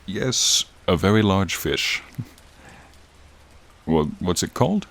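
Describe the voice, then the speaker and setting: A man's voice: slow, heavily acted. A man voicing a friendly, charming frost dragon in a soothing, courtly, admiring manner, in an ASMR roleplay with rain behind him. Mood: friendly, hospitable. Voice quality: low, gravelly, soothing. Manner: courtly, leisurely, warmly attentive. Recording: steady rain sounds behind the voice. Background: rain falling.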